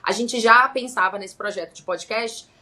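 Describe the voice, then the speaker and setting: A woman from Salvador with a Bahian accent, co-hosting a podcast as a journalist and presenter in lively, chatty talk. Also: on the high side, normal speed. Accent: Bahian Brazilian Portuguese. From Salvador, Bahia, Brazil. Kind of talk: casual chat. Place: home studio, remote recording.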